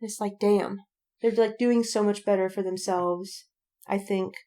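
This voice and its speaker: A woman's voice: mid-range voice, steady tone, measured pace, mellow. A woman co-hosting a podcast in casual fandom chat, rambling along and repeating words for emphasis. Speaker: podcast co-host.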